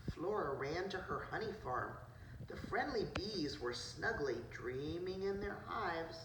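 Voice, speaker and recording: A woman's voice: on the low side, expressive, unhurried. A woman preschool teacher reading a picture book aloud to children, adding simple asides about the pictures. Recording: roomy, distant mic, noisy background.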